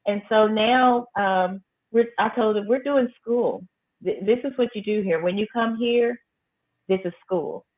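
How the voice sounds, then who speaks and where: A woman high school principal, talking firmly and emphatically in a casual interview. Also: unhurried. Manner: emphatic, firm, repeats phrases for effect. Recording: tinny phone-like line.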